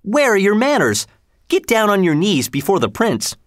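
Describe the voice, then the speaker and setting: A man reading aloud, voicing a bold, rowdy street boy who taunts loudly. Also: on the high side, very animated, a little slow, no room sound, studio quality, pitched above the performer's own voice. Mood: taunting.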